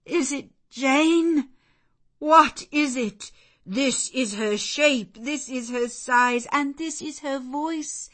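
A woman voicing a blind, brooding middle-aged gentleman in a story reading, with imperious and impassioned demands. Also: mid-range voice, slow. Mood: overwhelmed.

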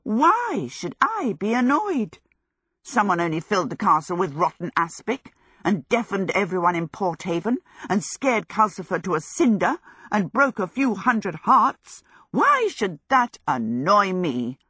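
A woman's voice: lowish voice, moderate pace, a bit bright, breathy edge, no room sound, studio quality. A woman voicing a grumpy, stubborn old woman, grumbling and sarcastic, in a storybook reading. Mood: indignant sarcasm.

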